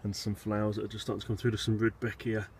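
A man with a fairly low voice talks casually through a garden tour. He is low-key and a little hesitant, thinking aloud. It is recorded outdoors on a handheld device, with clear noise behind him. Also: flat delivery, rapid-fire. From England. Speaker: allotment gardener and vlogger. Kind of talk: casual rambling video tour. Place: outdoors on an allotment and in a polytunnel. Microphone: handheld phone or camera behind the lens. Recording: noisy handheld outdoor recording, wind and background rumble.

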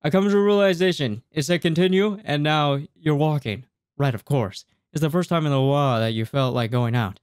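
A man's voice: on the high side, brisk, smooth, dry recording. A man in his twenties doing a let's play, reading game text aloud and reacting casually, sometimes stumbling over words, close on a desk mic. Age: 20s. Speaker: video game streamer. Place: small room indoors at a gaming setup. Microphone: close desk microphone, headset worn. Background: game music.

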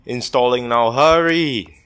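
A young adult man doing casual gaming commentary, rambling and halting, repeating words and swearing as he plays. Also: mid-range voice, a bit bright, smooth. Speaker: gaming video host. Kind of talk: casual let's play commentary.

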